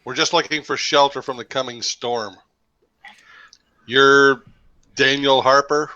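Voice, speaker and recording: A man's voice: medium pitch, steady tone, takes it slowly, pushed and bright. A man voicing a big, blunt male adventurer who speaks in short, terse sentences. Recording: dull lo-fi mic.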